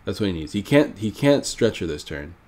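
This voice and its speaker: A man's voice: fairly low pitch, big pitch swings, fairly quick, a little mellow. A man with a stuffy, nasal voice from a cold, casually thinking aloud while commenting on his own card game match. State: sick, stuffy nose. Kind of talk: live gameplay commentary.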